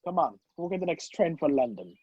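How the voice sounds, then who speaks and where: A man's voice: on the high side, sing-song, brisk, warm tone, breathy edge, dry recording. A man acting a wrongly accused, impatient man on the run in a radio play over a video call. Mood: desperate, urgent. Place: video call, dry room. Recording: muffled video-call audio.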